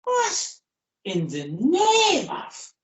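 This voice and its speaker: A woman's voice: very high voice, wildly swooping pitch, extremely slow, heavily acted. A woman playing a hapless, flustered man in a radio play, hesitant and stammering. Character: flustered, hapless man caught with his lover.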